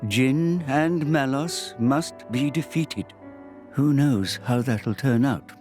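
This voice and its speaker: A man playing a wise, gently stern old grandfatherly mentor in a video game, measured and solemn. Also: mid-range voice, soft background music, natural voice. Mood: grave. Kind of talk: dubbed video game cutscene acting.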